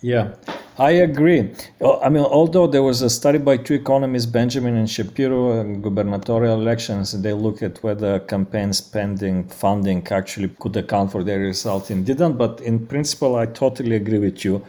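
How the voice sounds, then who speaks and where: A man with a Bulgarian accent answers interview questions as a researcher. His voice is dark and mellow. He explains in an academic way, citing studies and pausing on fillers. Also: on the low side, steady tone. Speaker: guest researcher.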